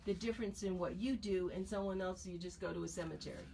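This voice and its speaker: A woman's voice: on the low side, fast, gravelly, dark tone. A woman hosting a radio talk show, asking questions in a chatty, conversational way. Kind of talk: radio interview. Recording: radio broadcast from a distance, faint noise.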